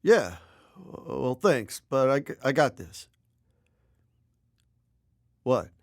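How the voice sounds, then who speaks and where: An actor playing a guarded, evasive middle-aged policeman father in a 1920s-style radio drama, speaking haltingly. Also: medium pitch, sing-song, no room sound, clean studio sound.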